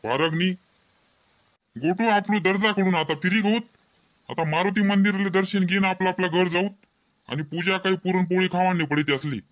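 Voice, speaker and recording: High-voiced proud village farmer played by a man. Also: moderate pace, fairly bright tone. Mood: proud, practical. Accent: Ahirani. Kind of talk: comedy cartoon dialogue.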